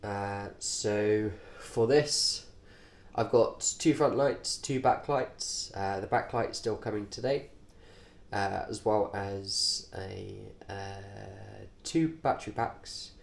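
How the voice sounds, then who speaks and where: A man speaks in a fairly low, nasal voice, congested from a cold. He takes his time, moving very slowly through short, halting phrases. The delivery is informal and offhand, like a vlogger showing his gear. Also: gravelly. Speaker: cyclist presenting his race kit. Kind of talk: informal vlog, kit walkthrough.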